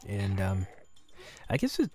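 A man's voice: lowish voice, huge pitch swings, unhurried, a little mellow. A man giving a casual director's commentary on an audio drama. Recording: music and drama audio behind. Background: audio drama playing with music.

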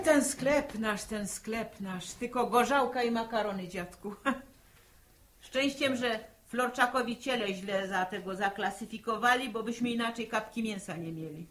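A woman's voice: a little high, a little hiss or hum, lightly acted. An actress playing a blunt, practical village mother, grumbling in earthy, folksy talk in a 1960s radio drama. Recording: 1960 archive radio drama recording.

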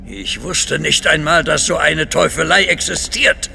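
An actor playing a dignified grand duke in an audio drama, grave and incredulous. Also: male voice, on the high side, unhurried, gravelly, bright, forceful tone, in a large hall, soft background music, full character voice. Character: dignified, astonished male Russian grand duke. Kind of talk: German audio drama acting.

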